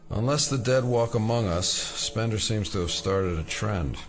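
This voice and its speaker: A man's voice: fairly low pitch, a little slow, soft background music. An actor playing a wry, dogged male FBI investigator in an audio drama, with a dry, wisecracking manner.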